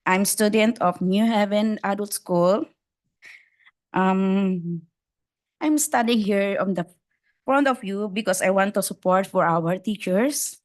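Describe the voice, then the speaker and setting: A woman's voice: medium pitch, slow pace. A woman adult school student reading a public comment at a school board meeting in careful learner's English.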